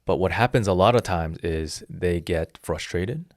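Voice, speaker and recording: A man's voice: low voice, normal speed, no room sound, clean studio sound. A man in his thirties, a jiu-jitsu instructor, explaining his philosophy earnestly in a casual interview with frequent restarts. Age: 30s.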